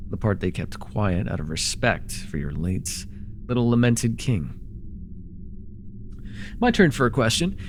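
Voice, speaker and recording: Man acting a haughty, theatrical villain with lively swings. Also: mid-range voice, a little hiss or hum. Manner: theatrical, mocking, drawling. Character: conquering dark-magic villain ruler, sly, haughty, taunting. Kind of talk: immersive fantasy audio roleplay acting.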